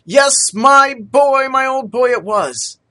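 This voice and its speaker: A man voicing a high, eager young street vendor. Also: animated, slow pace, sharp tone, heavily acted. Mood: eager, humble. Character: cheerful poor young male street vendor, humble.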